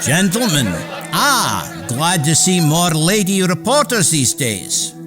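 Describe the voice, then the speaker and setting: Elderly genius inventor for radio drama: high-pitched, grandiose, proud, declamatory delivery. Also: male voice, sing-song, slow pace, music in the background, crowd noise. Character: elderly inventor Tesla, proud, grandiose, announcing his weapon. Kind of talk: radio theater acting.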